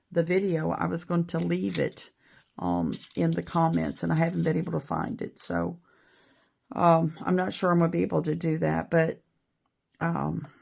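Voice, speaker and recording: A middle-aged woman with a raspy voice after an illness, chatting casually and rambling a little while she shows how to make a beaded bracelet. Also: lowish voice. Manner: chatty, rambling, self-deprecating. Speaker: beading video host. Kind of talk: casual tutorial chat.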